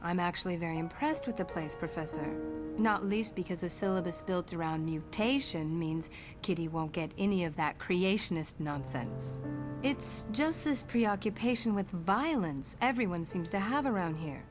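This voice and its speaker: A woman's voice: on the low side, lively intonation, moderate pace, lightly acted. Woman playing a worried mother over music. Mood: hesitant, worried. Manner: earnest, insistent. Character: worried, protective, outspoken mother. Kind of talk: motion comic acting. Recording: music behind.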